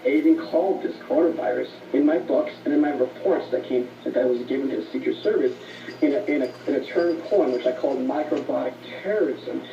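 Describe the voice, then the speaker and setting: A man's voice: medium pitch, a little fast, warm tone, lo-fi sound, a little hiss or hum. A man speaking earnestly as a guest on a radio interview. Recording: distant roomy shortwave broadcast.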